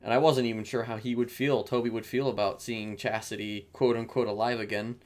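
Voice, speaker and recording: A man's voice: medium pitch, level tone, a little fast, darkish tone. A man who streams games, chatting casually to his viewers, rambling as he thinks aloud and swearing. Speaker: live game streamer.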